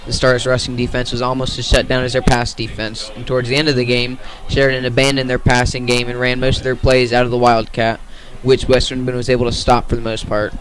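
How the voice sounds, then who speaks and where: An American man works as a play-by-play announcer on sports radio. His voice sits at a medium pitch and moves very little in tone. He delivers commentary in a measured, informational way. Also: monotone, fairly bright tone, poor audio.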